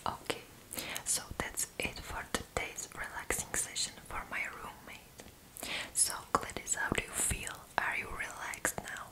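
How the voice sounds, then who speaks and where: Female, twenties, ASMR roleplay: fully whispered, breathy, gentle, close-miked. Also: unhurried, poor audio. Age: 20s. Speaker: ASMR video creator playing a roommate. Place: small indoor room. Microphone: close microphone.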